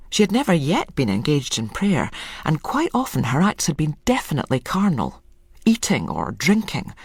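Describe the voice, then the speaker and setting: A narrator reading a literary short story in an expressive, measured storytelling manner. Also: medium pitch, gravelly, husky, no echo, studio quality.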